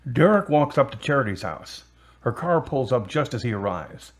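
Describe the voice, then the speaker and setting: Male narrator for scripted drama, mid-pitched and husky. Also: sing-song, moderate pace.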